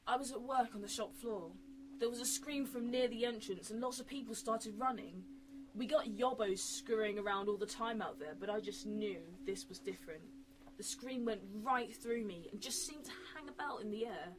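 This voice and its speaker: A woman's voice: a little high, normal speed, close to an everyday voice, rough recording. A young woman playing a chatty, wry techno-geek survivor in an amateur radio drama. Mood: recounting, tense. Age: young adult. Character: wry, self-taught techno-freak woman survivor in her late twenties.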